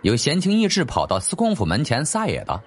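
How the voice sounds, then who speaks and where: A man's voice: medium pitch, huge pitch swings, a little fast. A man narrating a scripted story as a dramatic audiobook storyteller.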